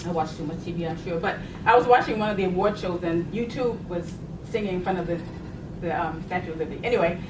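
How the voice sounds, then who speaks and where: A woman's voice: fairly low pitch, fast. A middle-aged woman from Boston speaking on a panel in a chatty way, laughing as she tells stories. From Boston, United States. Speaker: panelist, science agency program director. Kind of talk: conversational panel talk. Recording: echoey room, distant mic, noisy.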